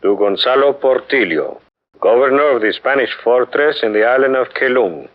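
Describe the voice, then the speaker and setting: An actor playing a stern, proud Spanish fortress governor in a 1940s radio drama, haughty and indignant. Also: male voice, mid-range voice, normal speed, slight background noise, natural voice. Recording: dull 1940s radio recording.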